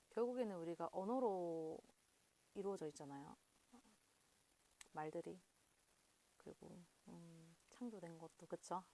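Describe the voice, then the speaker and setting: Woman host, regional Korean dialect, lowish voice, loose rambling delivery. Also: darkish tone, low-quality recording. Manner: rambling, many fillers, laughs often. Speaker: video host. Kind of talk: casual talk to camera.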